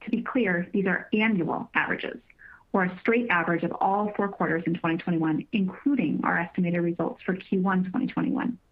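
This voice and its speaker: A woman with a fairly low voice delivers scripted financial remarks over a narrow, tinny conference-call line. She sounds formal and measured, like an executive presenting to investors. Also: fairly even intonation. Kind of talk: prepared corporate presentation, scripted.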